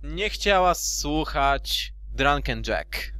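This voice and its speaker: A man's voice: on the high side, lively intonation, extremely slow, pushed and bright, clear, resonant tone. A man narrating a storybook in an amateur fan dub, theatrically and with loose asides.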